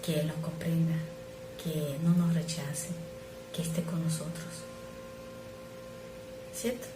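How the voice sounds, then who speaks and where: A middle-aged woman who is not a native speaker, preaching an earnest, measured sermon that sometimes drops to soft asides. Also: sits low, flat delivery. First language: not Spanish. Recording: echoey room with noise.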